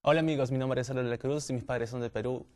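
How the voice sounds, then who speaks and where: A man's voice: mid-range voice, fairly even intonation, very fast, a little mellow. A young man born in the United States talks casually on a discussion panel, adding tag questions. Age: young adult.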